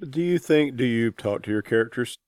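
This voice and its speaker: A man's voice: mid-range voice, animated, fairly quick, a little mellow, clean tone. A man hosting a casual interview, phrasing his questions in a hesitant, searching way. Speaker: interviewer, podcast host.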